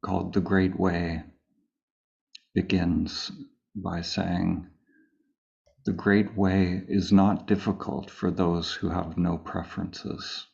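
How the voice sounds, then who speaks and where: A man leading a guided meditation as a teacher, speaking calmly and unhurriedly with long pauses between phrases. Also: sits low, creaky, muffled recording. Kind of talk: slow guided meditation, spoken live.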